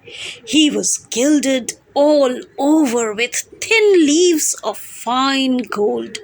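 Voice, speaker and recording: A woman with a high-pitched voice presents material as an amateur storyteller. Her pace is very slow and deliberate. She phrases carefully and expressively. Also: animated, low-quality recording. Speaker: amateur storyteller and channel host. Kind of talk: dramatised story reading.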